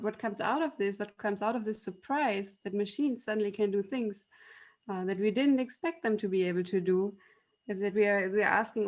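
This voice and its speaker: A woman's voice: mid-range voice, a little mellow. A woman from Germany with a German accent, a university machine learning lecturer giving debate panel remarks in an explanatory, storytelling way, with many ums and restarts.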